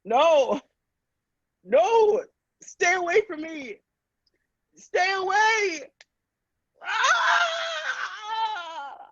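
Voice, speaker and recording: A woman's voice: extremely high pitch, sing-song, drawn-out words, pauses often, fairly bright tone, no echo, shouting, highs cut off, voice pushed up, full character voice. A woman playing an alarmed but defiant doctor in a classic radio play, speaking with dramatic emphasis. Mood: terrified. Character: alarmed, defiant doctor facing a murderous robot.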